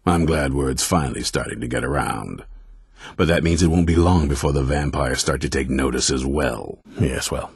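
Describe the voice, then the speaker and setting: A stern male leader of vampire hunters in a fantasy video game. His voice is extremely low and thick with gravel, recorded close and dry. He speaks bluntly and with command, suspicious and grudging toward those around him. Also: normal speed, dry recording, heavily acted. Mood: gruff, grudging. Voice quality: very deep, gravelly, raspy. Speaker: leader of a vampire-hunting order.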